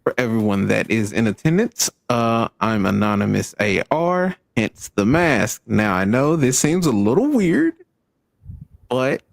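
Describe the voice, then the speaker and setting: A man's voice: on the low side, vocal fry, dry recording, studio quality. A man from Arkansas with a Southern American accent, chatting casually and a little ramblingly as a streamer being interviewed. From Arkansas, United States. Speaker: guest, video game streamer.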